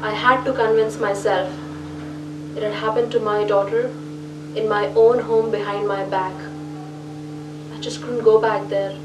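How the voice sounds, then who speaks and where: An actress playing a distraught upper-middle-class mother with an Indian accent, confiding haltingly in a short film monologue. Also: medium pitch, level tone, muffled recording, over music, close to an everyday voice. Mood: shaken, resolved. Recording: roomy, distant, faint noise.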